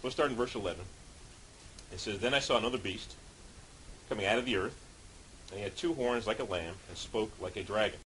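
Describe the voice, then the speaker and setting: A man's voice: lowish voice, a little fast, long pauses, fairly bright tone, low-quality recording. A man preaching as a street preacher, reading Bible passages and explaining them in an informal teaching way. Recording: hissy background noise.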